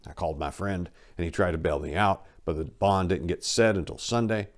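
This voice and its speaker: A man's voice: low voice, fairly quick. A man reading a first-person memoir as a dramatic audiobook, in a wry, conversational storytelling manner. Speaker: audiobook narrator.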